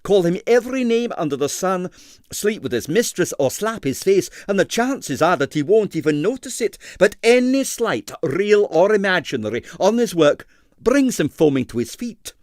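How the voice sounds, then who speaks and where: A man's voice: high-pitched, very animated, moderate pace, darkish tone, no echo, studio quality, pitched above the performer's own voice. A man voicing a sour, disdainful doctor who loathes bad ballet, emphatic and blandly scathing, for an audiobook. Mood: opinionated. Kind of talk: audiobook character voice.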